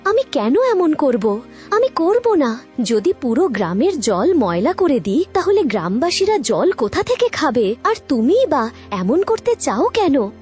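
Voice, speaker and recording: A woman's voice: high-pitched, sing-song, unhurried, a little mellow, soft background music. A woman voicing a good-hearted young woman in a cartoon fairy tale, earnest and emotional. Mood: protesting.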